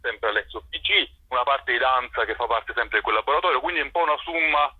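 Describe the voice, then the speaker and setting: Man, interviewed theatre director, husky voice, discursive and enthusiastic, roomy telephone sound. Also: mid-range voice, fast. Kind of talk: radio phone interview, spontaneous explaining. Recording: muffled, roomy telephone line on radio.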